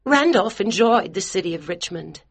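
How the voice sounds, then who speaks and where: A flustered middle-aged mother who gushes in a high, fluttery voice, as a male narrator puts her on. Her talk is fussy and chattering, pitched well above the reader's own low voice. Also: lively intonation, moderate pace, husky, exaggerated voice. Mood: gushing. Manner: flustered and gushing.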